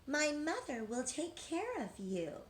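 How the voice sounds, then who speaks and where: A woman's voice: high-pitched, sing-song, a little hiss or hum, voice pushed up, exaggerated voice. A woman voicing a young female lamb from a storybook, imploring and eager for a friend. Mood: pleading, eager. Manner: imploring, drawn-out pleas.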